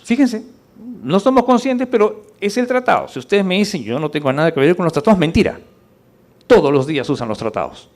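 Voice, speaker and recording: A man lecturing in public, didactic and rhetorical, with deliberate pauses, emphasis and questions to check that listeners follow. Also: a little high. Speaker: conference speaker.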